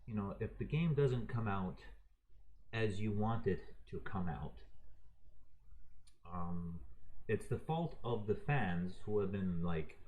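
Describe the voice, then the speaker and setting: A man's voice: on the low side, expressive, slow, pauses often, darkish tone, poor audio. A man raised in Croatia giving an unscripted, heated and profane opinion rant, talking to his viewers in an exasperated way. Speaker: video ranter.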